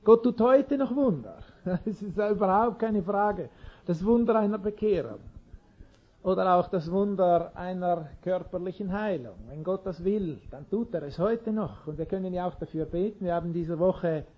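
A man's voice: high voice, normal speed, slightly rough, dull audio. A man preaching an informal sermon in an earnest way, chuckling now and then and restarting sentences.

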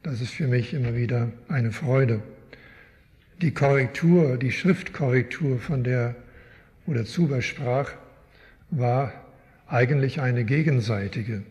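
Older man, from Canada, guest teacher, thoughtful, unhurried, reverberant church hall. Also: medium pitch, a little mellow. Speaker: guest speaker, Bible teacher. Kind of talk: live interview in a church.

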